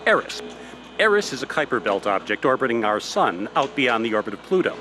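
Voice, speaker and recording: Man playing an affable professor, lively intonation. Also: mid-range voice, a little fast, background noise, close to an everyday voice. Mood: eager, explaining. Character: affable male astronomy professor being interviewed by phone. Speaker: professor of astronomy. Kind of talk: radio play interview.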